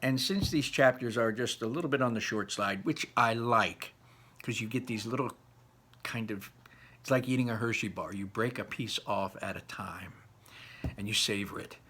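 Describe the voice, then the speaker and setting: A man reading a children's book aloud, conversationally pausing to explain and put questions to his listeners. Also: on the low side, pushed and bright, husky. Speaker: read-aloud storyteller explaining words to children. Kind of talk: children's book read-aloud with teaching asides.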